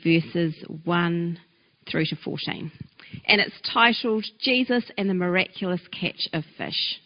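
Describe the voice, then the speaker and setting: New woman, church reader, measured and melodic, muffled hall sound. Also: mid-range voice. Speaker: scripture reader at a service. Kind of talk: Bible reading.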